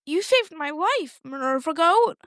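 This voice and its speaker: A woman voicing a tender, excitable little girl who loves animals, in an audio storybook. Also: extremely high pitch, a little slow, no echo, studio quality, voice pushed up, exaggerated voice. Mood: dreamy, tender. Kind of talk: storybook character voice in audio fiction.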